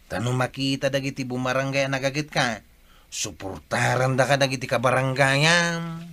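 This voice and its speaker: Bright-voiced, earnest village sidekick. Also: male voice, medium pitch, normal speed. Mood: encouraging. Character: supportive village man, respectful sidekick to his uncle. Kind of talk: comic radio drama acting.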